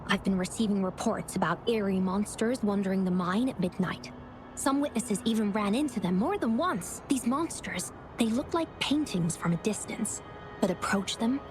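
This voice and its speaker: An actress playing a folksy, brisk woman who oversees a mine, chatty and animated. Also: medium pitch, natural voice. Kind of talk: game cutscene dialogue. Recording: game music underneath. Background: music.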